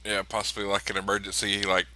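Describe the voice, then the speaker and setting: A man's voice: on the low side, completely flat delivery, quick pace, bright, forceful tone, dry recording, poor audio. A man playing a tabletop role-playing game, casually calling his character's actions and speaking as him.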